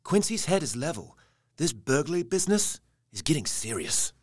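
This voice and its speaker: An actor playing a sober, cautious young gentleman in an audio drama. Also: on the high side, big pitch swings, normal speed, no room sound, clean studio sound. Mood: sober, concerned.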